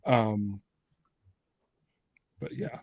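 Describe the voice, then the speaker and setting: A man's voice: lowish voice, steady tone, extremely slow, gravelly, a little mellow, slightly rough, dry recording. Casual man chatting, very dry close sound. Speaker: podcast speaker. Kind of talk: casual chat about TV and film.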